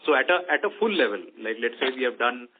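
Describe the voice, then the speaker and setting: A man with an Indian accent explaining business results as an executive on an earnings call, in a halting, hesitant manner. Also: medium pitch, lively intonation, a little hiss or hum. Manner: hesitant, halting, with frequent "you know" and "let's say". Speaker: company executive answering analysts' questions. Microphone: telephone conference call. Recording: tinny narrow phone line.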